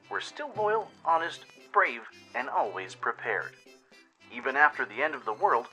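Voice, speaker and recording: A man's voice: medium pitch, pushed and bright, pitched above the performer's own voice, poor audio. A man voicing a perky, cheerfully menacing filly scout in a parody radio commercial.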